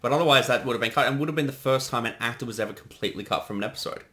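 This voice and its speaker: A man's voice: medium pitch, very fast, sharp tone. A man giving a casual creator's commentary on a production he directed, chatting conversationally.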